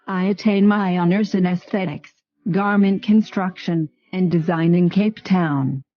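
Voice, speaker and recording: A woman's voice: fairly low pitch, steady tone, unhurried, dull audio. A woman in her twenties from Zimbabwe, giving a rehearsed pageant self-introduction. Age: 20s. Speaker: pageant contestant.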